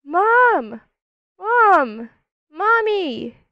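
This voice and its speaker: A woman's voice: extremely high pitch, sing-song, drawn-out words, no room sound, highs cut off, pitched above the performer's own voice, exaggerated voice. A woman voicing an anxious young child in a roleplay story, plaintive and calling out. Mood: anxious, pleading.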